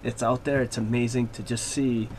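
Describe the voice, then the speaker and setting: Man, easygoing radio guest, mid pitch, faint hiss behind. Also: moderate pace. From Laval, Quebec, Canada. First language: French. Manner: relaxed, rambling with many fillers. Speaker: hockey player guest. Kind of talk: radio interview.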